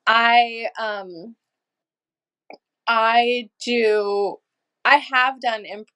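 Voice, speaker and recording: A woman from Los Angeles, a podcast guest, telling stories in a lively, casual way with easy swearing and laughter. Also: on the high side, very slow, clear, resonant tone, poor audio. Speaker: podcast guest, energy healer and channeler. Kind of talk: casual storytelling chat.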